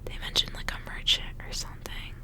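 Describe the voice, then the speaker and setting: A woman whispering softly as she reads aloud in an ASMR style. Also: brisk. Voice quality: soft unvoiced whisper. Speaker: ASMR reader and channel host. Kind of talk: whispered ASMR storybook reading with casual asides.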